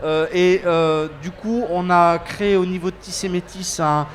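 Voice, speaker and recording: A man in his fifties from France talks on a web TV panel as an association organizer. He speaks in a high, lively voice and keeps starting over between fillers. His talk is casual and animated. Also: measured pace, a little hiss or hum. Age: 50s. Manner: animated, hesitant with many fillers.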